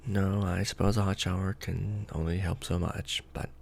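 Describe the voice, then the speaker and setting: A man playing a courtly, protective, brooding vampire lord in a soft, formal ASMR romantic roleplay. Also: low-pitched, level tone, creaky, husky, exaggerated voice. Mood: tender, attentive. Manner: formal, archaic wording, slow and hushed.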